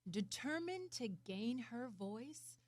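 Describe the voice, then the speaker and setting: A woman narrating a children's story in an expressive, deliberate way. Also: on the high side, no room sound. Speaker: storybook reader.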